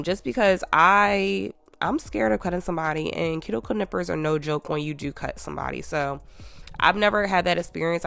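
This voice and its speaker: Creaky-voiced woman with a stuffy cold. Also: deep voice, a bit bright, dry recording. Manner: chatty, detailed, with many ums. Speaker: nail technician. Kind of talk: tutorial voiceover.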